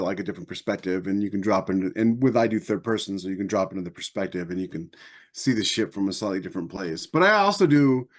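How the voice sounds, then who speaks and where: Man, lowish pitch, casual podcast talk, rambling storyteller, author host.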